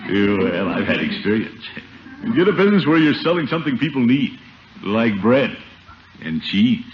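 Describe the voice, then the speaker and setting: A blustery, fatherly middle-aged uncle acted by a man with an animated, self-important delivery. It is 1950s radio sitcom acting, dulled by an old broadcast recording. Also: medium pitch, normal speed, highs cut off, a little hiss or hum, lightly acted. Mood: fatherly advising. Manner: pompous, avuncular, chuckling.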